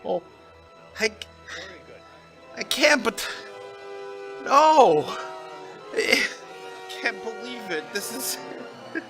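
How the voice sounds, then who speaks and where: Excitable codebreaker, played by a man: high voice, swooping pitch, radio drama with faint music. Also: extremely slow, pauses often, full character voice. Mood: stunned, manic. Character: excitable military codebreaker overwhelmed by his discovery.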